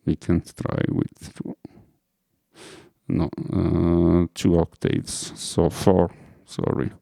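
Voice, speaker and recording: A man's voice: sits low, monotone, drawn-out words, gravelly, dark tone, no echo, studio quality. A man with an Italian accent explaining music production in a hesitant way, stopping often with fillers as he searches for English words. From Italy. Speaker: music tutorial host. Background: electronic music playing.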